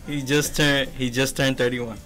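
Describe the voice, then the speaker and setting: A man's voice: mid-range voice, little pitch movement, normal speed, a bit bright, soft background music. A teenage man hosting a youth radio show, chatting casually with lots of fillers and laughter. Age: teens.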